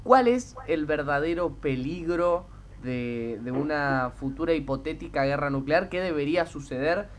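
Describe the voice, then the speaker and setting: Hesitant radio interviewer, faint background noise. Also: male voice, on the high side, lively intonation, normal speed, hardly any pauses. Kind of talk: conversational live radio interview.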